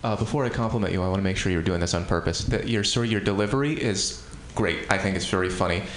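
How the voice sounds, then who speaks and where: Low-voiced guy giving a comic notes, calm and careful, echoey room. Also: a little hiss or hum. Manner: measured, constructive feedback. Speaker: comedy workshop critic.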